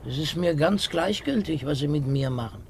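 An actor playing a resigned, despairing emigrant aboard a ship in a 1950s radio drama. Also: male voice, on the high side, expressive, moderate pace, gravelly. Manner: resigned, listless. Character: despairing, indifferent male stowaway emigrant who gave up. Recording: old radio recording with faint noise.

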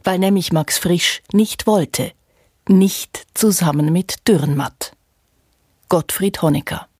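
A woman's voice: on the low side, takes it slowly, a little mellow, slightly rough, no echo, studio quality. A woman performing a scornful reciter of poets' insults in a literary radio feature. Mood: pointed.

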